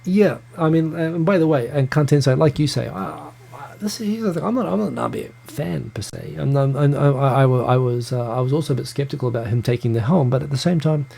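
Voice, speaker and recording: Gravelly New Zealand man, live chat. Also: mid-range voice, brisk, hardly any pauses, warm tone. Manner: chatty, stumbling restarts, greets viewers by name. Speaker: live show host reading viewer comments. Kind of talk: casual live-stream chat. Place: home room in Tokyo.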